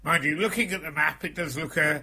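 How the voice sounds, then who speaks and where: A man with a gravelly voice, presenting a radio show in a chatty, flustered, self-mocking way. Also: on the high side, brisk, pushed and bright.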